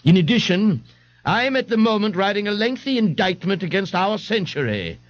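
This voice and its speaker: A man's voice: high-pitched, big pitch swings, normal speed, lo-fi sound, voice pushed up. A man doing a pompous, haughty thirty-year-old. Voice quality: bellowing, grandiloquent, condescending.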